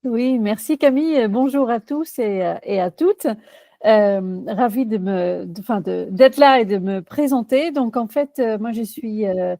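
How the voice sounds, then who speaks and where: A woman's voice: a little high, unhurried, warm tone, no echo. A woman in her forties from the Netherlands with a Dutch accent, answering interview questions as a career coach, hesitant with many fillers and restarts.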